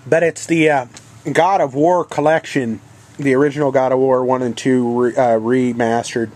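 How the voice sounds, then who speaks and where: A man's voice: mid-range voice, steady tone, a little mellow, a little hiss or hum. Congested man rambling through a vlog. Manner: rambling, halting, many ums and uhs. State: congested with a head cold; coughing now and then. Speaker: channel host. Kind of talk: casual video game haul vlog.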